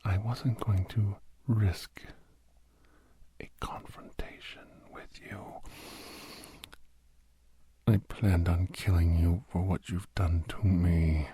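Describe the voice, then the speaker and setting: Sinister captor doctor for ASMR drama: extremely low, slow, breathy half-whisper, menacingly courteous. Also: male voice, fairly even intonation, dark tone, slight background noise. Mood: cold gloating. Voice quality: breathy, husky near-whisper. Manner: slow, drawn-out, mock-polite menace. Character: menacing villainous doctor, obsessed captor, coldly gloating.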